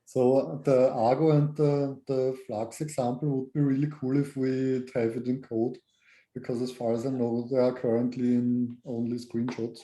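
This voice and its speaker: A man leading an online work meeting in a plain, measured way, asking questions. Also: medium pitch, little pitch movement, warm tone, echoey room. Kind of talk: casual work meeting chat.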